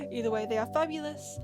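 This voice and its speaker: A woman voice actor and channel host chatting casually and informally to listeners. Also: high voice.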